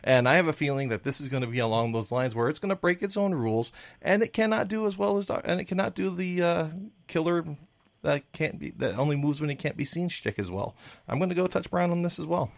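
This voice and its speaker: A man's voice: a little high, fast, gravelly. A man chatting on a panel podcast, giving blunt trailer verdicts with rambling restarts. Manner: animated, rambling with restarts and laughs. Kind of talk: casual chat reviewing trailers.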